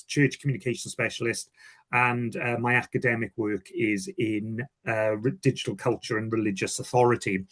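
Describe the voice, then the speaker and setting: A man with a Welsh accent speaks at a medium pitch, giving a first-hand account as a communications professional. His delivery is informal and reflective, with repeated words and small self-corrections. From Wales. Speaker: communications director giving a testimonial.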